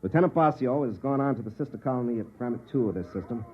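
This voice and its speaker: An actor playing a cynical, hard-drinking army space captain in a 1950s radio drama, world-weary and sardonic. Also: male voice, medium pitch, lively intonation, fast, highs cut off, slight background noise, lightly acted. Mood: blunt, impatient. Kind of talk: 1950s radio drama acting with first-person narration. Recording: band-limited 1950s radio recording with music.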